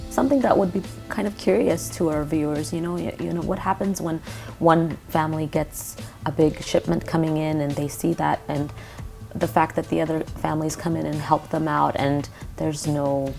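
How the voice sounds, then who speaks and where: A woman's voice: sits low, steady tone, moderate pace, gravelly, faint music behind. A woman hosting an interview, affirming her guests with frequent mm-hmms. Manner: warmly affirming, lots of mm-hmms. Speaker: show host.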